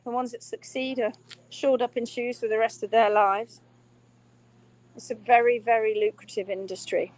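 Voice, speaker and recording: A woman's voice: a little high, steady tone, normal speed, a little mellow, poor audio. A woman hosting a live-streamed hoof-trimming workshop, speaking emphatically and quizzing her students, filmed on a phone indoors. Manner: emphatic, reads viewer comments aloud.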